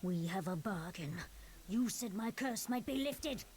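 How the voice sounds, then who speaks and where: An actress playing a cursed female monster in a video game, seductive and pleading, with theatrical hissing whispers and drawn-out words. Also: medium pitch, animated, a little mellow, lightly acted. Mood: pleading, sorrowful.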